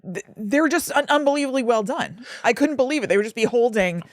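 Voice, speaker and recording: A woman's voice: a little high, animated, brisk, no echo, studio quality. A woman co-hosting a podcast, chatting casually about film in a conversational way, with plenty of "like" and asides. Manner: conversational, frequent "like" and hedging asides. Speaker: podcast co-host.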